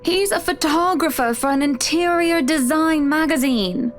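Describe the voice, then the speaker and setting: A woman's voice: sits high, measured pace, faint music behind. An actress playing an indignant wife in a visual novel game, scolding and pointed as she stands up to her husband. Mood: defensive.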